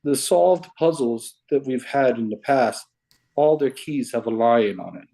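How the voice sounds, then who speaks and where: Slow, halting man on a video call. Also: medium pitch, vocal fry, warm tone, rough recording. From Hawaii. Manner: unhurried, halting, restarts often. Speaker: caller sharing puzzle theories. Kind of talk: casual video call chat. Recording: video call audio, breaking up.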